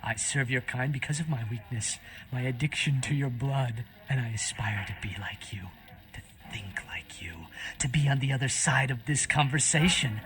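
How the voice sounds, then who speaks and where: A man playing a cynical, blood-addicted human servant of vampires in a video game, taunting and fawning with theatrical swings between loud and hushed. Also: mid-range voice, moderate pace, close to an everyday voice. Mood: bitter, fawning.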